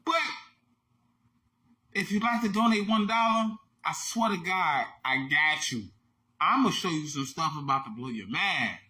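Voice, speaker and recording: A man hosting a short online video, giving emphatic, repetitive commentary that keeps bursting into shouts. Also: a little high, expressive, a little slow. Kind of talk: animated social media commentary.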